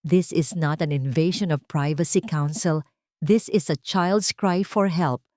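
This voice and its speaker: A woman's voice: low-pitched, measured pace, warm tone, dry recording, clean studio sound. A woman voicing a firm, composed attorney addressing a court in a dramatic audio story. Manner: firm, formal.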